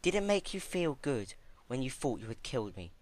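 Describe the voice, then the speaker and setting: A man voicing a regal, cold, manipulative female alicorn pony ruler in a dramatic story reading, measured and condescending. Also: medium pitch, very animated, pitched above the performer's own voice, natural voice. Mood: calm reproach.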